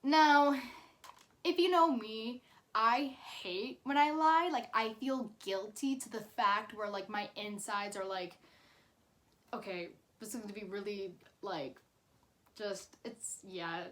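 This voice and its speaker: A woman's voice: medium pitch, takes it slowly, fairly bright tone. A woman in her twenties telling a personal story casually, in a chatty, informal way with dramatic emphasis. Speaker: YouTube storyteller.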